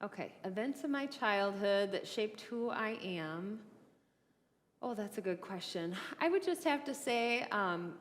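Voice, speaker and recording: A woman pastor welcomes viewers to a livestreamed forum from inside a church, her voice ringing with a long hall reverb. She talks in a friendly, chatty way at an everyday pitch. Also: lively intonation, unhurried.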